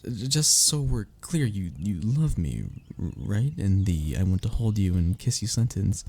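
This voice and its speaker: An anxious, lovesick young man played by a male actor in a romantic audio drama. His voice is low and husky with a gravelly edge, and it swings widely as he talks haltingly, murmuring and sighing with feeling. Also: big pitch swings, mellow, full character voice. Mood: relieved, playful, smitten. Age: young adult. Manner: halting, emotional delivery with sighs and breathy murmurs. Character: lovesick, anxious young man confessing to childhood best friend. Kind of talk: intimate romantic audio roleplay.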